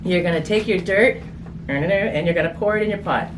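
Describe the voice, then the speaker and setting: A woman talks in a playful, chatty way, as if showing children a simple craft step by step. She thinks aloud in a casual, unscripted style. Her voice sits away from the microphone, with clear noise around it. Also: on the low side, moderate pace. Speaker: children's story reader and craft host. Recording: distant mic, clearly noisy room.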